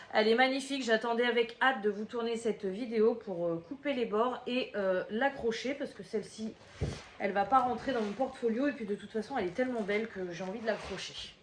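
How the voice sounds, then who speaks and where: A woman's voice: on the high side, reverberant. A woman with a slight cold, chatting casually to her camera at a table at home, rambling with many fillers and restarts. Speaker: crafting vlogger. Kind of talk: casual chatty video monologue. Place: room at home, table in front. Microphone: camera at table, head out of frame.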